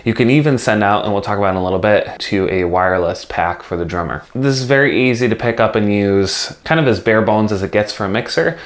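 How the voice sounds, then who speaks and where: A man's voice: lowish voice, a little fast, hardly any pauses. A man talking casually to camera as a video host, explaining things in an informal, conversational way. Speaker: video host, music video filmmaker. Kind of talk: casual instructional vlog talk to camera.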